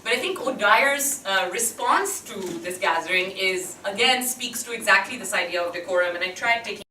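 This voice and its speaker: A woman speaking at a seminar, pausing often with ums and uhs as she works through her ideas. Her voice is bright and carries without a mic, caught from across a reverberant lecture hall with a faint hiss behind it. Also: medium pitch, expressive, far from the mic. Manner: thoughtful, many hesitations and fillers. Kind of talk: unscripted academic talk. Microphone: no mic, picked up from a distance.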